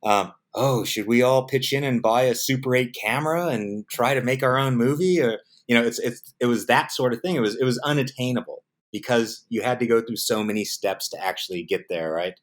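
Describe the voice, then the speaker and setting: A man's voice: mid-range voice, brisk, few pauses. A middle-aged man with an American accent giving casual, anecdotal interview answers, animatedly acting out bits of dialogue. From San Diego, United States. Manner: animated storyteller, acts out quoted dialogue. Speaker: interview guest, film production professional.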